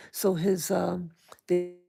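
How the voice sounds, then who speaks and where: A soft-spoken woman hosting an early morning livestream, talking hesitantly with many pauses and fillers and greeting her viewers. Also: mid-range voice, creaky. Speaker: livestream host. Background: small dog occasionally heard.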